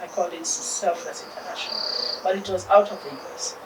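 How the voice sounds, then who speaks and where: A woman's voice: lowish voice, fast talker. Kenyan woman, distant echoey mic. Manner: animated, mixes in Swahili phrases, laughs often. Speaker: interview guest. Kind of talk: casual interview chat. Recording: distant mic, echoey room, loud insect noise.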